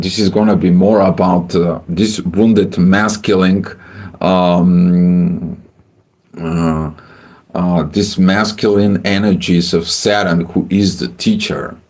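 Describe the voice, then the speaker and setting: Man, deep voice, halting livestream astrology talk, lots of ums. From Bulgaria. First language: Bulgarian. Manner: halting, many filler sounds, greets chat viewers.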